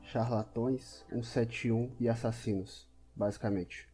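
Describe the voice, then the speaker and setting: A man voices a distrustful skeptic in a role-play drama. His dark, relaxed voice is bitter and scornful, full of disbelief, over faint music. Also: mid-range voice, unhurried, natural voice. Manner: scornful, incredulous.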